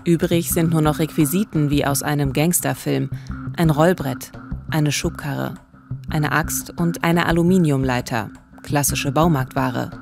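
A woman's voice: deep voice, gravelly. A woman in her thirties presenting a true-crime podcast, moving between scripted narration and studio talk. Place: small radio studio. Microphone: close studio microphone with pop filter.

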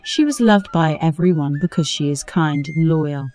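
A woman's voice: deep voice, moderate pace, darkish tone. A woman narrating a scripted folktale as a storyteller. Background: music under the voice.

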